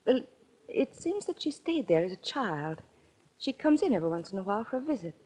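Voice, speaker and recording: A woman's voice: medium pitch, big pitch swings, brisk, warm tone, husky. An actress playing a poised, gracious and coy young society woman in a 1940s radio drama. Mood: matter-of-fact. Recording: noisy vintage 1940s radio recording with clicks.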